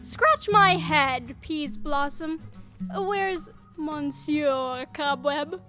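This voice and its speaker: A woman's voice: high-pitched, drawn-out words, bright, forceful tone, soft background music, exaggerated voice. A woman playing a silly, self-important male weaver with a donkey's head in a Shakespearean audio drama, hesitating as he orders others about. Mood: lordly, fussy.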